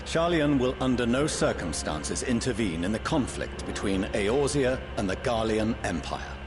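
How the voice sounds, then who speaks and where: A man's voice: mid-range voice, lively intonation, close to an everyday voice. An actor playing a stern, proud middle-aged statesman and father in a fantasy game, speaking formally and loftily. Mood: firm, solemn. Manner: formal, measured, lofty diction. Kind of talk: dramatic fantasy game acting. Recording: orchestral music behind the voice.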